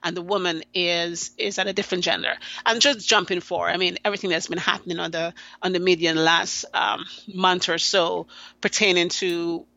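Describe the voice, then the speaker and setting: A woman's voice: on the low side, little pitch movement, gravelly, pushed and bright, no room sound, dull audio. A woman from Guyana, a podcast guest, talking earnestly and often restarting her sentences. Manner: earnest, halting with many restarts. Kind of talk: conversational interview.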